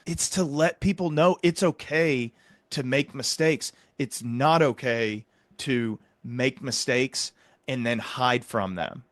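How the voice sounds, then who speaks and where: A man hosting an interview, talking earnestly and explaining his points with measured emphasis. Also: medium pitch, a bit bright, dry recording. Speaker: podcast host.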